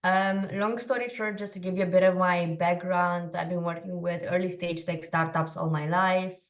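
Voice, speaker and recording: A woman in her thirties from Romania, a podcast guest telling her story in a quick, chatty way with lots of fillers. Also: fairly low pitch, steady tone, distant mic. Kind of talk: casual interview storytelling.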